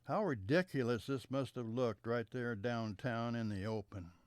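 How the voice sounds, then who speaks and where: Smooth-voiced man reading a memoir. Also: medium pitch, dry recording. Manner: measured, trailing off softer at the ends of sentences. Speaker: storyteller. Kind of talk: reading a memoir story aloud.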